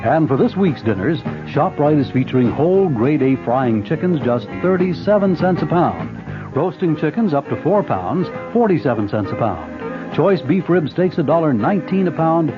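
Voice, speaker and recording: A man's voice: fairly high pitch, very animated, normal speed. A man reading a 1970s radio commercial as its announcer. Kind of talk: 1970s advertising read. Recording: muffled old radio broadcast. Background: loud jingle music.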